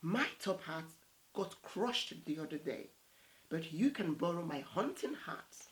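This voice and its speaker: A woman as slow, obliging storybook animals. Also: fairly low pitch, expressive, a little hiss or hum, low-quality recording. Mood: obliging, apologetic. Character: obliging animal friends in a folktale.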